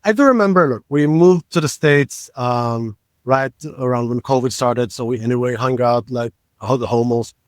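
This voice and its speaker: A man in his thirties from Israel with an Israeli accent, co-hosting a casual podcast and talking in a halting, joking way with fillers. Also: medium pitch, no room sound, studio quality. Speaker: podcast co-host. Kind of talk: casual chat.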